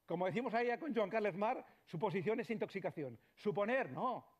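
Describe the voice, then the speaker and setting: A middle-aged man from Barcelona giving a conversational lecture to doctors, often checking in with the audience and letting his voice trail off quietly. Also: sits high, lively intonation, brisk. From Barcelona, Spain. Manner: conversational, frequent tag questions, trails off quietly. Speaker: psychologist giving a talk. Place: lecture hall.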